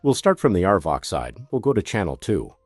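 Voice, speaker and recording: A man's voice: deep voice, sing-song, brisk, no echo, soft background music. A man narrating a scripted product tutorial as a demonstrator, giving methodical step-by-step instructions.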